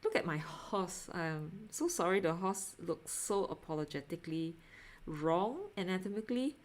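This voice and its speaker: Lower-pitched Singaporean woman chatting casually. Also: expressive, a little hiss or hum. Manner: self-deprecating, giggly, halting. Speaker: art livestreamer. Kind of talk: casual livestream chat while drawing.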